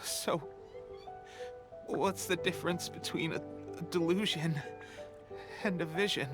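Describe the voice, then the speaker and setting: An actor playing a young resistance soldier who is weak and tired, coughing and speaking in halting, hesitant phrases. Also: male voice, fairly high pitch, music in the background, close to an everyday voice. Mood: weary. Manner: halting, hesitant, with trailing restarts. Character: young male resistance soldier, loyal, fading. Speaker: game character. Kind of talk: game cutscene voice acting.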